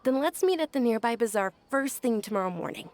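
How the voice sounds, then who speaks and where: A woman playing a gentle, gracious young noblewoman in an anime game, speaking politely and considerately. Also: fairly high pitch, lively intonation, fairly quick. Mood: eager. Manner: polite, soft-spoken, considerate. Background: background music.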